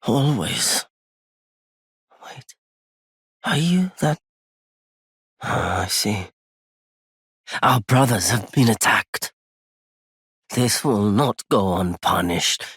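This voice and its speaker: An actor playing a wary, proud nomadic merchant in a video game, with a husky, gravelly voice and a weighty, theatrical delivery. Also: male voice, on the low side, expressive, extremely slow, pauses often, a bit bright, no echo, studio quality, heavily acted. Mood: grim, resolute. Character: wary, courteous nomadic male merchant turned bitter and vengeful. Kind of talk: scripted game character lines.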